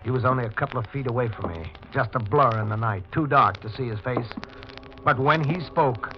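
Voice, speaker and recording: A man's voice: mid-range voice, lightly acted. Man as stern 1940s radio homicide detective. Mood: tense, grim. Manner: deliberate, precise, dramatic. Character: stern, methodical male police homicide lieutenant giving testimony. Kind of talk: 1940s radio drama acting. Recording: muffled 1940s radio recording with music.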